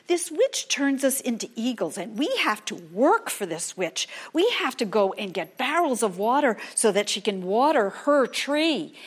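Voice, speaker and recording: Folktale eagle who is really a child, done by a woman: anxious, helpful, animated, high voice. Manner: earnest, explaining. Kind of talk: oral folktale storytelling.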